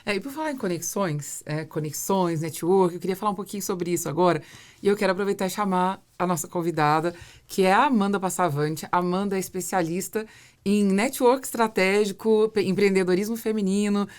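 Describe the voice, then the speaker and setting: Brazilian woman hosting, mid-pitched. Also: a little fast. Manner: agreeable, frequent short affirmations. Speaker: podcast host. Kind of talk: casual podcast interview.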